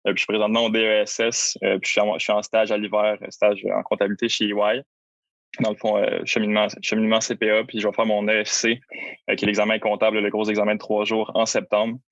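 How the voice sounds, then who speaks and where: A man's voice: fairly low pitch, dry recording, muffled recording. A young man with a Québécois accent, joking a little while describing his studies and internship. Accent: Québécois French. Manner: flat, fast, joking. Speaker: accounting intern guest. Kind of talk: casual interview answers.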